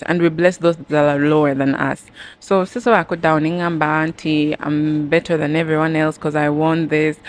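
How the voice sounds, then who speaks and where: A young woman answers interview questions as a pageant winner, switching languages casually and telling stories with acted-out dialogue. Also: low voice. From Uganda. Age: young adult. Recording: clearly noisy, with ambient background sound.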